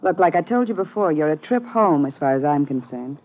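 A woman's voice: deep voice, animated, brisk. An actress playing a cynical young American woman in a 1950s radio crime drama, brassy, sarcastic and curt. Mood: scornful. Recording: muffled, noisy vintage radio recording.

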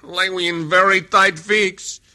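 Comic older Mexican sidekick, Mexican accent, high-pitched, piercingly bright, husky, broken English, radio western. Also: male voice, little pitch movement, a little slow, heavily acted. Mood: hopeful scheming. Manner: broken English, refers to himself by name. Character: Jose, bumbling loyal old comic Mexican sidekick. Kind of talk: old-time radio western acting.

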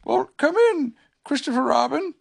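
A storybook reader voicing a small, gentle toy bear who is sleepy, polite and a little puzzled, speaking hesitantly. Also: sits high, normal speed, gravelly, breathy edge, voice pushed up, heavily acted. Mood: sleepy, questioning. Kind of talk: children's storybook character reading.

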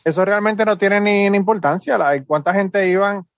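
A man hosting a casual panel chat, arguing his point with lively questions. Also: high-pitched, moderate pace, no room sound. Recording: dull video call audio.

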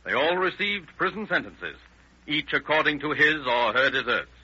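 An actor as a formal, moralizing announcer narrating an old radio crime drama. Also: male voice, fairly high pitch, animated, normal speed, bright, forceful tone, slightly rough, full character voice. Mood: solemn, formal. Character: solemn male crime-show announcer. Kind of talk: solemn 1930s radio scripted narration. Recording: noisy, muffled old radio broadcast.

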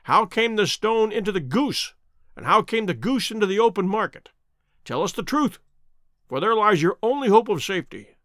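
A man voicing a self-assured Victorian detective in an audiobook, measured, stern and dryly mocking. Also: high-pitched, big pitch swings, a bit bright, no room sound, studio quality, pitched above the performer's own voice. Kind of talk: dramatic audiobook character reading.

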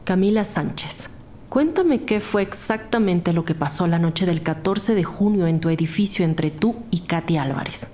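A woman playing a determined, probing police detective in an audio crime drama, businesslike, with loud music behind her. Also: lowish voice, expressive, moderate pace, a little hiss or hum, natural voice. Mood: stern, probing. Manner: businesslike, questioning. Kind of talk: scripted audio drama dialogue.

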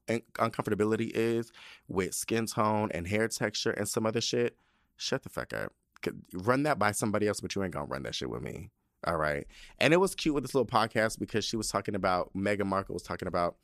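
Sassy, profane young man, low voice. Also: no room sound, studio quality. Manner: profane, animated, sassy storytelling. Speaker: podcast host. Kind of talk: casual monologue.

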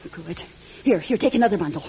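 A woman's voice: on the low side, expressive, fast, warm tone, close to an everyday voice. An actress playing an anxious stranded woman in a radio drama, speaking in a naturalistic, hesitant, pleading way. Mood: brusque, resolute. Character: anxious stranded young woman, a secretive money courier. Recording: dull, noisy radio drama recording.

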